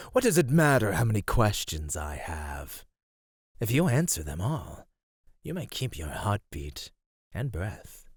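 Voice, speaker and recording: Guy doing a really low slow ancient monster, teasing predator type, formal n menacing, asmr roleplay. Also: a little mellow, no echo, studio quality. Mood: teasing, threatening. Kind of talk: ASMR roleplay, slow intimate scripted acting.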